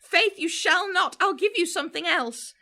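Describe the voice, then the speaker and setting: A woman playing a torn, teasing young Trojan woman in slow, wavering, theatrical Shakespearean verse. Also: very high voice, animated, sharp tone, heavily acted.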